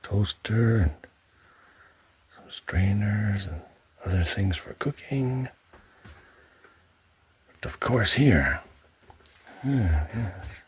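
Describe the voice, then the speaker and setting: A man giving a soft-spoken ASMR home tour in a hushed, halting way, often slipping into a whisper. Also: on the low side, slight background noise. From Canada. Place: indoors at home, in a pantry.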